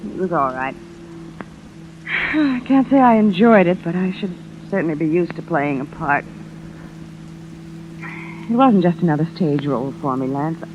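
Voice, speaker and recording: An actress playing a dignified, wistful widow in a 1950s radio drama, speaking with poise and halting phrases. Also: female voice, deep voice, expressive, over music. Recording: tinny, noisy vintage radio recording.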